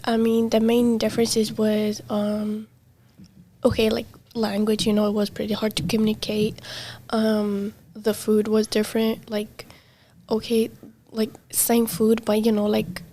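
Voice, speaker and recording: A young woman, a native Arabic or Kurdish speaker, talking casually as an interviewee, halting with many fillers and trailing softly. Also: a little high, completely flat delivery. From Middle East. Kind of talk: casual conversation. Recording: faint background noise.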